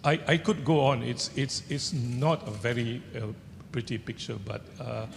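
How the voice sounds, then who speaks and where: An older man with a Singaporean accent, an author telling personal anecdotes to an audience in a halting way. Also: vocal fry. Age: older, seventies or above. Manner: halting, searching for words. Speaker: author on a festival panel. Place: large indoor event hall with seated audience. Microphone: handheld microphone. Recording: echoey hall, rumbling background noise.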